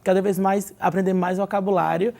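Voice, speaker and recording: Brief: brazilian woman teacher, gravelly and mellow, explains with lots of "né?". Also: medium pitch, flat delivery, a little fast. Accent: Brazilian Portuguese. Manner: explanatory, lots of "né?" tag questions. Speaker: English teacher, studio guest. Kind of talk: TV studio interview, advice.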